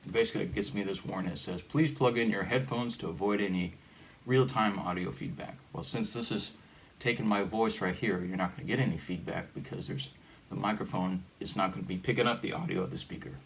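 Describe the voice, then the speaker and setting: Low-voiced man explaining, halting and informal. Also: gravelly, low-quality recording. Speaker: hobbyist demonstrator. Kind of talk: casual hands-on gadget demonstration. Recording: steady background noise.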